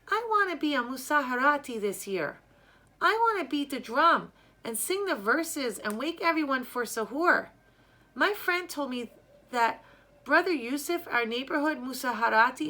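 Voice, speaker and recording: Twelve-year-old storybook girl, read by a woman. Raised pitch, eager, pleading, heartfelt. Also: expressive, normal speed, bright, forceful tone. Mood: earnest pleading. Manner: breathless, eager, spilling words out.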